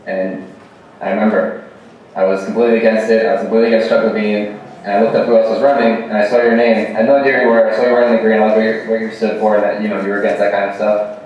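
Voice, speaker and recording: Fast-talking man, dull echoey recording. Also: lowish voice, flat delivery, a little mellow, recorded from a distance, slight background noise. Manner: casual, friendly. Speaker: student questioner. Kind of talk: casual questions to a candidate.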